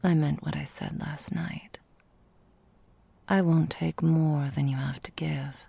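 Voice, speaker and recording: A woman playing an ancient, elegant vampire mistress in an ASMR roleplay, speaking formally and deliberately in a breathy, gravelly, whispery voice. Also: deep voice, fairly even intonation, mellow, no echo, exaggerated voice. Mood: teasing, silky. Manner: slow, formal, theatrical, deliberate.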